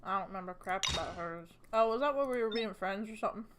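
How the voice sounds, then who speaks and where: A woman's voice: mid-range voice, fast talker, background noise. A woman chatting casually as she plays a game, musing aloud in a playful way. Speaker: game streamer. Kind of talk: casual gameplay chat. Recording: music playing behind the voice. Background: game music.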